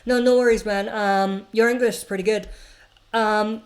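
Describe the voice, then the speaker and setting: Woman, livestream host, casual and opinionated, reads chat comments and riffs on them, mid-pitched voice. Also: steady tone, normal speed. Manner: chatty, reads viewer comments aloud then answers; frequent fillers and restarts, opinionated.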